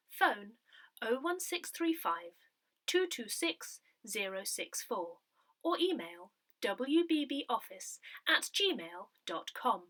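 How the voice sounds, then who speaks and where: Bright, high-pitched woman reading aloud. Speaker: newsletter reader. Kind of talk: scripted read-aloud of a parish newsletter.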